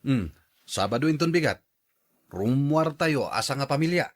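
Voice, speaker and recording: A man's voice: mid-range voice, lively intonation, normal speed, close to an everyday voice. An actor with an Ilocano Filipino accent playing an ambitious, cheerful salesman and husband in a radio drama. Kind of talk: acted radio drama dialogue.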